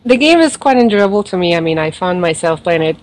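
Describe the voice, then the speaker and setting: Chatty woman with a slightly low voice. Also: expressive, fast talker. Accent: non-native accent, origin not stated. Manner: rambling and chatty, with lots of fillers and laughs. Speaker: podcast co-host reviewing a mobile game. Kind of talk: casual chat.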